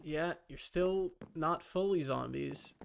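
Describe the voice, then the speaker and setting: A man livestreaming a game in casual chat, rambling and halting as he mutters to himself and answers viewers. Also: a little high, vocal fry, slight background noise.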